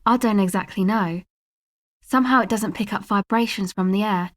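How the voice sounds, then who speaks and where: Woman acting deadpan young slacker woman, very dry recording. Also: medium pitch, moderate pace, no room sound, natural voice. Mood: matter-of-fact. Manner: casual, slangy, deadpan. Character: laid-back, sardonic young woman who records field sounds. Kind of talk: video game character dialogue.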